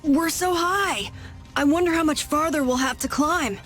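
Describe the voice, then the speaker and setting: An actress voicing an eager, anxious young boy adventurer in a video game, plain-spoken and excitable. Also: high-pitched, moderate pace, faint music behind. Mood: worried.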